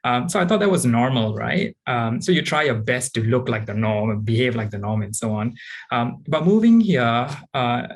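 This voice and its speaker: A man, frankly and thoughtfully sharing personal experiences as a panelist, often pausing with ums. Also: mid-range voice, lively intonation. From Singapore. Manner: reflective and frank, with frequent ums. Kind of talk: candid personal account on a panel.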